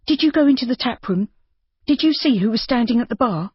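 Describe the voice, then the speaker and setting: A woman's voice: a little high, animated, a little fast, breathy edge, no room sound, voice pushed up. A woman reading an audiobook, voicing a frightened, headstrong young woman who speaks urgently and tensely. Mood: panicked. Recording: dull muffled audiobook recording.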